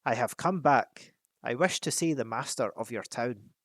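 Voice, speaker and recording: A man voicing a proud, solemn dwarf king who speaks in a loud, stately way. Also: medium pitch, moderate pace, dry recording, clean studio sound, close to an everyday voice. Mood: commanding.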